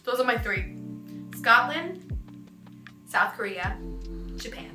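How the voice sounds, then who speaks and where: A woman's voice: a little high, animated, slow pace. A young adult woman, a voice actor, chatting casually and answering fan questions in a bubbly, giggly, self-deprecating way. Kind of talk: casual vlog Q&A. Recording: roomy echo with music behind.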